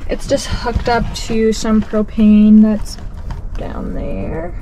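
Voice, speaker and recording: A woman's voice: mid-range voice, steady tone, takes it slowly, warm tone, slight background noise, rough recording. A young woman giving an upbeat, giggly tour inside a camper van, talking to a handheld camera. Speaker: vlogger. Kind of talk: casual van tour vlog. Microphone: handheld camera, close then walking around.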